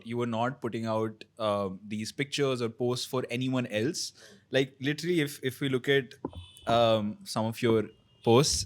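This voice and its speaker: Guy hosting a podcast, lots of ums and likes, easygoing questions. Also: medium pitch. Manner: conversational, hesitant, many fillers. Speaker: podcast host. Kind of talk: interview. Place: restaurant kitchen.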